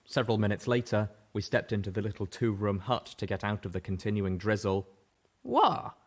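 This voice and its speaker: A man narrating a fan-fiction audiobook with a steady, even-paced reading voice. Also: lowish voice, brisk. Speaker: audiobook reader. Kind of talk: scripted story narration.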